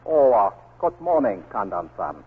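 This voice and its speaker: Polite Japanese police captain, tinny radio. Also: male voice, on the low side, very animated, normal speed, breathy edge, soft background music, heavily acted. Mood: falsely polite. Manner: stilted, telegraphic foreign English. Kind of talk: 1940s radio acting. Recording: tinny 1940s radio recording.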